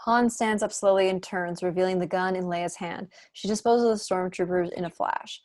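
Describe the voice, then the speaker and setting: A woman's voice: on the low side, steady tone, normal speed, a little mellow. A young adult woman reading scripted stage directions as narrator at an online table read. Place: room at home, video call.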